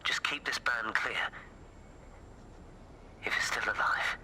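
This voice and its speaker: A man's voice: medium pitch, fairly even intonation, takes it slowly, bright, forceful tone, in a whisper. An actor playing an earnest, troubled British man with a hesitant manner in a naturalistic video game drama. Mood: grave, desperate.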